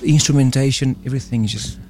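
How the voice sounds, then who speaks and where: A man's voice: mid-range voice, quick pace, a little mellow. A man from Angola who grew up in Portugal talks as a band guest on radio. His voice sits in a middle register, and he answers in a friendly, agreeable way over light music. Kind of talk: casual radio interview answers.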